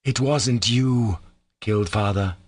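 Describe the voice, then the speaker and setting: A man plays a gentle younger brother in a classic novel audiobook. He speaks earnestly and tenderly, with a timid, pleading manner. The recording is dry and close. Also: mid-range voice, big pitch swings, fairly quick, no echo. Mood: hushed, intense.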